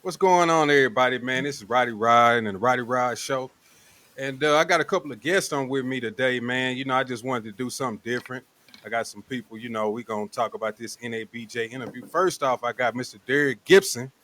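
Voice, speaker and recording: A man's voice: mid-range voice, fast, fairly bright tone. A man with an American accent hosting a casual show, talking in a laid-back, slangy way. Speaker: show host. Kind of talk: casual online panel show talk.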